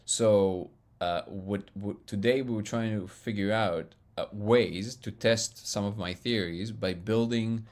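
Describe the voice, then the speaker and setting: A man hosting a casual live stream, reading viewer comments and answering them in an excitable, loud, booming voice, laughing often. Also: slow, few pauses. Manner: excitable, laughs often, reads chat aloud. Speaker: livestream host answering chat.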